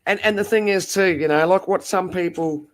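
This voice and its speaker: A man's voice: sits high, normal speed. A man chatting casually and reassuringly on a late-night stream, swearing now and then.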